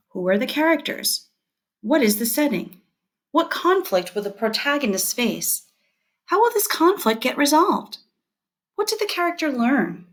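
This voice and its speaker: A woman reads aloud as a teacher would, in scripted educational narration. Her voice sits fairly high and swings with very animated intonation. The delivery is deliberate and expressive. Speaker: teacher reading a lesson aloud.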